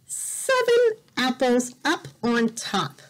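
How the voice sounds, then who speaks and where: A woman teacher reading a picture book aloud to children in a deliberate, exaggerated way, repeating words for learners, recorded indoors on a device camera. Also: high voice, very animated, poor audio. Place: indoors in a room by a bright window.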